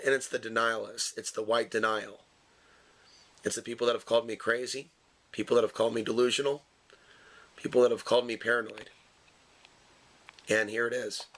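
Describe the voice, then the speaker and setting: Halting male commentator, medium pitch. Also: fairly bright tone. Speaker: video commentator. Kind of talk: unscripted commentary.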